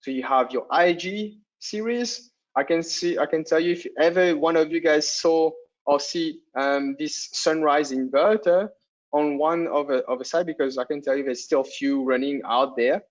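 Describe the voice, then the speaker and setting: A man's voice: fairly high pitch, normal speed, rough recording. A man with a non-native English accent presenting a company webinar to solar installers, talking conversationally, often tagging sentences with "right?" and restarting phrases.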